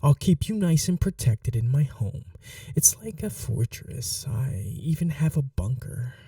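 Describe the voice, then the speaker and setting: A performer plays an obsessed, starving vampire in an ASMR roleplay. The voice is very dark and gravelly, swinging expressively between murmurs and breathy whispers. It lingers possessively over the words, hungry and coaxing. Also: mid-range voice, very animated, no room sound, studio quality, far from the mic. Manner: hushed, slow, lingering, often dropping into whispers.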